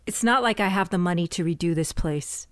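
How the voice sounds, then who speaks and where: A woman's voice: lowish voice, brisk, a bit bright. Woman, audio drama actor, stern, exasperated. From United States. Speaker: shop owner character.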